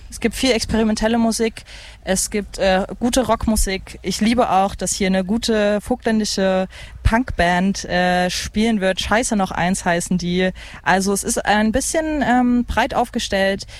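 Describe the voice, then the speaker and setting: Festival radio host, thirties, medium pitch, enthusiastic, casual, gropes for words. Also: measured pace, dry recording. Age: 30s. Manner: enthusiastic, hesitant searching for words. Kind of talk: casual live radio chat. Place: open lounge area at a festival site. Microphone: broadcast microphone.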